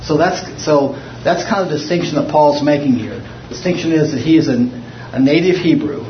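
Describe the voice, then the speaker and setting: A somewhat high-voiced man from the United States leads a small Bible study, talking in a loose, rambling way full of restarts. He is recorded from across an echoey room on a muffled, dull mic, with a faint hiss underneath. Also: fairly quick. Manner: rambling, with frequent restarts and fillers. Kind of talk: informal Bible study teaching. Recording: muffled, roomy, distant mic.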